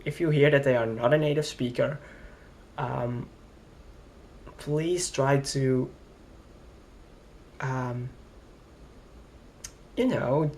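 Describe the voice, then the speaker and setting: A man's voice: medium pitch, a little hiss or hum. A young man from Flanders, rambling and hesitant as he complains in a casual vlog. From Belgium, Flanders. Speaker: vlogger.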